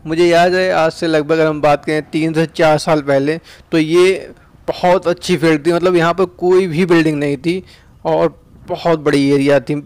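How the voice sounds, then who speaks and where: A man's voice: fairly high pitch, steady tone, normal speed, darkish tone, clean tone, no echo. A young man from India with an Uttar Pradesh Hindi accent, casually narrating a ride through town streets outdoors as a chatty vlogger. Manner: chatty, tag questions like "है ना".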